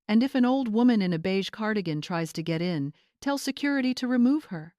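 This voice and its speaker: A woman voicing an entitled, imperious young bride with a sharp, demanding manner in a dramatic story. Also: medium pitch, animated, a little fast, no room sound, studio quality, pitched above the performer's own voice, lightly acted. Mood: cold, imperious. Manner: hissing, demanding.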